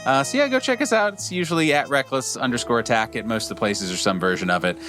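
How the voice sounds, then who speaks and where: A man at a middle pitch talks casually over a video call, rambling and leaning on filler words as he plugs his work. Faint guitar music sits behind him. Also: expressive, quick pace. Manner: rambling, lots of filler uhs. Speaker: game designer and podcast guest. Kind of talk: casual chat.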